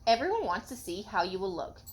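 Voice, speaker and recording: A woman's voice: mid-range voice, big pitch swings, fairly quick, lightly acted. A woman voicing a helpful, friendly male frog from a children's storybook in an earnest, direct way. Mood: earnest, pleading.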